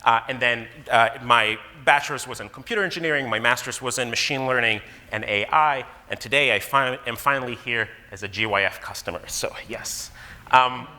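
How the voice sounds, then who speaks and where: A man in his thirties, originally from Israel, giving a casual, animated conference talk as a guest speaker. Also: medium pitch, a little fast. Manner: casual, animated presenter with fillers. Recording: long hall reverb.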